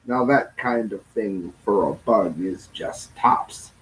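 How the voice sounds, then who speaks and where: A man's voice: fairly low pitch, takes it slowly, low-quality recording. A man reading a children's rhyming storybook aloud in a theatrical sing-song way, stretching phrases and sometimes stumbling over words. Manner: stumbles and corrects himself while reading. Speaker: storybook reader.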